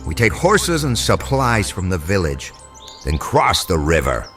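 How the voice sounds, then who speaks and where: An actor playing a ruthless, vengeful leader of medieval soldiers in an audio drama, menacing and commanding. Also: male voice, deep voice, sing-song, measured pace, music in the background.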